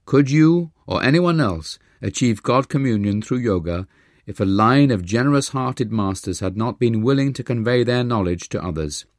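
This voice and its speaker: A man voicing a stern, wise spiritual master in an audiobook, admonishing gravely and deliberately. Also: mid-range voice, lively intonation, no room sound, studio quality, natural voice. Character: stern, wise Indian male guru teaching his disciple.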